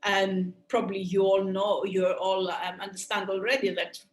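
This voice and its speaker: A woman with a Greek accent presenting a sports medicine webinar, earnest and thoughtful, pausing often with "um" and restarting her phrases. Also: medium pitch, flat delivery, reverberant. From Greece.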